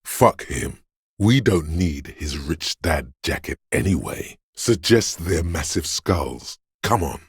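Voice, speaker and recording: An actor voicing a video game character, a paranoid, aggressive inner voice of fight-or-flight instinct, speaking in an ominous, raspy, urging way. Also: male voice, expressive, no room sound, studio quality, heavily acted. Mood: aggressive, goading. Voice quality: very deep, gravelly, raspy. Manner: slow, deliberate, ominous urging.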